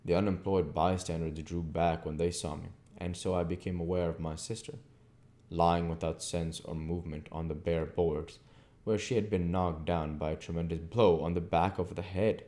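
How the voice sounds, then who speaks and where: A man with a very low, dark and mellow voice reads as an audiobook narrator. His delivery is measured and literary, with a soft-edged tone. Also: level tone. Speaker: audiobook reader, channel host. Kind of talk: scripted narration of a classic novel.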